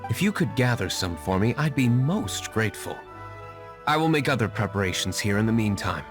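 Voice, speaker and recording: A man's voice: on the low side, animated, brisk, natural voice. A man voicing a composed, reserved young nobleman who runs a winery, speaking formally in video game acting. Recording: game audio with music behind.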